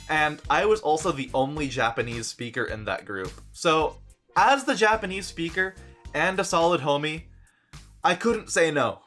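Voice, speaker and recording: Young guy vlogging, bright voice kinda high, jokey storytelling, light music under it. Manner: animated, joking storytelling with dry asides. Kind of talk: casual storytelling vlog.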